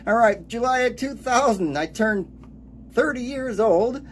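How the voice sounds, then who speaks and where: A man in his late forties giving an upbeat radio DJ countdown, announcing titles and years in a lively way. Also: extremely high pitch, a little slow, slight background noise. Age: late 40s.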